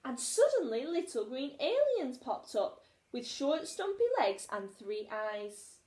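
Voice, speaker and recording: A woman reads a children's story like a teacher, expressively. Her voice is fairly high and very animated. The room around her is noticeably echoey. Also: slow.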